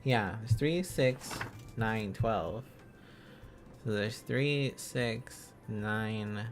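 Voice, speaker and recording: A man's voice: on the low side, drawn-out words, music in the background. A man livestreaming in a casual, playful way, thinking aloud as he chats to viewers. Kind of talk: casual livestream study chat.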